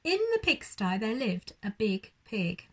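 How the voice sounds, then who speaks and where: A woman's voice: mid-range voice, very animated, measured pace, a little mellow. A woman reading a children's bedtime story expressively, like a primary school teacher addressing young children.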